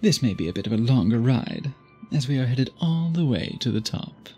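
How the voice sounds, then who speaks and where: A man playing an obsessive, tender vampire suitor in an intimate ASMR roleplay, speaking in formal, gently doting phrases. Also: medium pitch, expressive, moderate pace, slightly rough, soft background music. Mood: doting, courtly.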